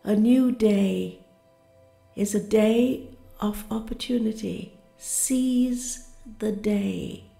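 Slow, mellow woman guiding a meditation. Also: mid-range voice, vocal fry. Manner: calm, gentle, deliberate delivery. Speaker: guided meditation narrator. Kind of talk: scripted guided meditation, soothing affirmations. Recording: roomy reverberant voice over music. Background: music.

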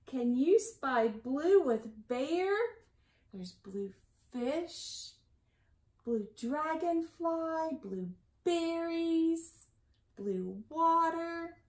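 A high-voiced woman in her forties reads a picture book aloud for little kids in an echoey room. She goes very slowly, with sing-song delivery and stretched, emphasized key words. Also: animated, darkish tone. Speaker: library storytime reader. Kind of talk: picture-book read-aloud for young children. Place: small indoor room at home. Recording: echoey room, slightly distant mic.

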